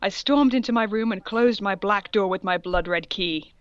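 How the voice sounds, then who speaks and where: A woman's voice: mid-range voice, moderate pace, clear, resonant tone, dry recording, lo-fi sound. A woman co-hosting a comedy show, casually reading fan fiction aloud and commenting on it. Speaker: co-host reading the female parts.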